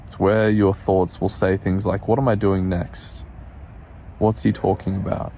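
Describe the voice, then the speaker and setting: A man guides a meditation in a low, mellow voice with a gravelly fry. He speaks soothingly and takes his time, leaving space between gentle instructions. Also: flat delivery, slight background noise. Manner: soothing, unhurried, with pauses.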